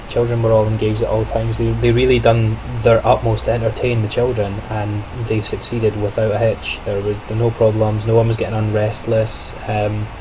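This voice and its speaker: Young man's flat, low video diary voice. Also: noisy background. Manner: chatty, self-correcting, reflective, laughs lightly. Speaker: trainee teacher on placement.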